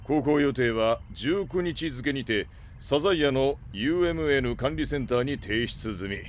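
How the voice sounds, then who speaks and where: An actor playing a gruff, grumbling freighter captain in a Japanese audio drama, with rough, slangy, irritable delivery. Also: male voice, mid-range voice, expressive, unhurried, a little hiss or hum, close to an everyday voice. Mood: formal.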